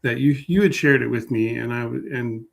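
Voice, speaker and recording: An American man from Oregon, a guest historian explaining things casually and haltingly, often repeating words as he starts. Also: mid-range voice, vocal fry.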